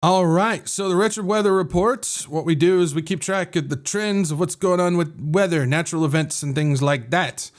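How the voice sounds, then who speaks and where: A man's voice: a little high, normal speed, hardly any pauses. Rambling, slightly nasal American man host. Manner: rambling, filler-heavy, self-correcting. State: nasal from drainage. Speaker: podcast host. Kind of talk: casual livestream talk.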